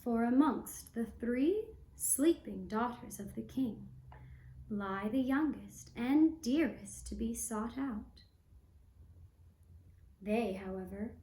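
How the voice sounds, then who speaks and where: A woman tells a fairy tale as a puppet show narrator. Her voice is high and her delivery slow and measured, pausing between phrases. The room adds a noticeable echo. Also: warm tone. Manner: measured, with long phrase breaks.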